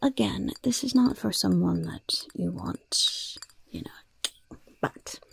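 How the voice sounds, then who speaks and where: A woman voicing a mischievous goblin shopkeeper in a whispered ASMR roleplay, with sly, gleefully polite sales patter in a breathy, gravelly voice. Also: medium pitch, lively intonation, slow, exaggerated voice. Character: mischievous goblin shopkeeper selling poisons and cursed items.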